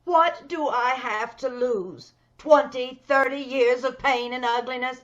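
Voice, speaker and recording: A woman's voice: sits high, measured pace. A woman playing a bitter, wealthy, commanding woman in an old-time radio drama. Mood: determined. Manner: cold, insistent, commanding. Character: wealthy, determined, bitter ugly woman scheming for a new body. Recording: dull video-call audio.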